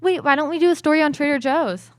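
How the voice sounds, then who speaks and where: A young woman plays a fussy, opinionated student in an amateur radio play. Her voice is very high and youthful, with conversational delivery. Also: fast, natural voice. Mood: chatty, brisk. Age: young adult.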